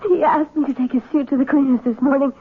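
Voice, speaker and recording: An actress playing an anxious, pleading wife in a melodramatic old-time radio drama. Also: female voice, high-pitched, fairly even intonation, fast talker, lightly acted. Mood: frightened. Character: anxious, pleading young wife with troubled husband. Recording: noisy, tinny old radio recording.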